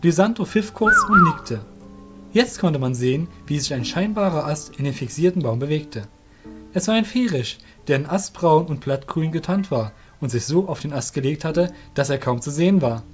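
A man's voice: a little high, lively intonation, normal speed, soft background music. A man narrating a fantasy audio drama in a scripted storytelling style.

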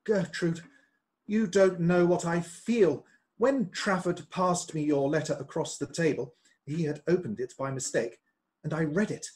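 A man's voice: on the high side, unhurried, a little mellow, natural voice. A man playing a fervent, troubled politician and devoted husband in a period radio play. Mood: relieved. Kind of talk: amateur radio play acting of a period drama.

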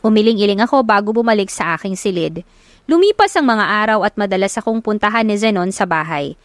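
A woman's voice: medium pitch, lively intonation, fast talker, no echo. A woman reading scripted first-person romance narration as an audiobook narrator. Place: close, dry recording. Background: faint music.

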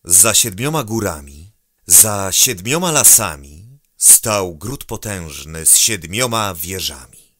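Male announcer for a kids' storybook, lower pitch, very unhurried, sing-song rhyming lead-in, dry studio sound. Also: bright, forceful tone, dry recording. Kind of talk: rhymed intro and outro announcing.

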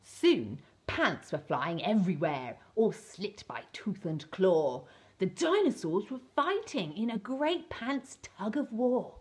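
A woman's voice: medium pitch, big pitch swings, slow pace, slight background noise. A middle-aged woman reading a children's storybook as an entertainer, with a playful, exaggerated, theatrical delivery. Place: small indoor set with fabric backdrop.

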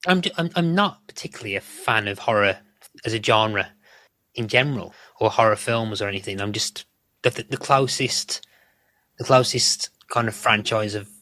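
A man with a fairly low but bright, forward voice talks casually as a podcast guest. He feels his way haltingly through sentences, and the sound is dry and close. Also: no echo. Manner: halting, repeats words while thinking. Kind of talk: casual chat.

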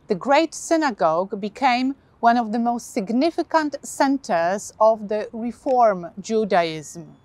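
A woman in her thirties with a Polish accent speaks slowly and deliberately, her voice pitched a little high. She presents history to camera outdoors, and the lapel mic gives a close, dry sound. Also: no echo. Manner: measured, slow, deliberate delivery. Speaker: guide. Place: outdoors by a modern building.

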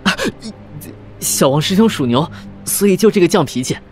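A man's voice: a little high, lively intonation, normal speed, lightly acted. Fawning young Taoist monk, male actor; timid, good-natured, placating, wuxia radio drama with faint music. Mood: placating, flattering. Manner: ingratiating, placating. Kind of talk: Chinese wuxia audio drama acting.

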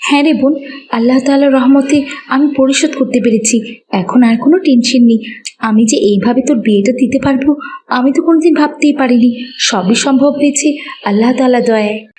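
A woman's voice: high voice, brisk, mellow, slight background noise, close to an everyday voice, rough recording. A woman voicing a hardworking, pious elder sister in a cartoon, giving earnest, caring advice. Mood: relieved, grateful. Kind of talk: cartoon voice acting.

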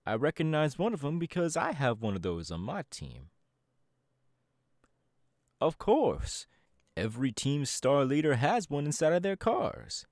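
Man voicing a swaggering young DJ crew boss, very lively pitch, dry close sound, boastful. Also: measured pace, long pauses, no room sound. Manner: boastful, swaggering, talks to the listener. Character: cocky young male DJ gang boss. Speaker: Team Star leader in a roleplay. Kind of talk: audio roleplay.

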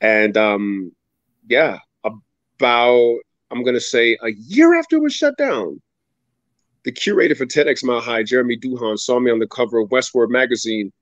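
A man in his thirties tells his story on a video call, an animated, dramatic storyteller whose voice jumps high when he mimics others. The sound is dull and dry, like a home webcam mic. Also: moderate pace, no echo. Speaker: guest speaker. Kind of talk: storytelling interview answer. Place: home room with ceiling fan, indoors.

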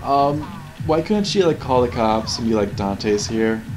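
A man's voice: mid-range voice, lively intonation, a little slow. A man giving casual, rambling, critical off-the-cuff commentary on video game cutscenes. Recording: game soundtrack playing behind. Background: video game cutscene audio and music.

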